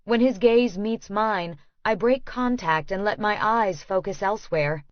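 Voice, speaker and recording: A woman reading first-person audiobook narration in a measured, matter-of-fact way. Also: medium pitch, no echo, muffled recording.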